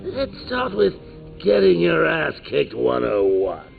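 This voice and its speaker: A man's voice: a little high, huge pitch swings, slow, gravelly, narrow, tinny audio, soft background music, heavily acted. An actor playing a cruel, joking male clown criminal in a video game, sneering and cackling.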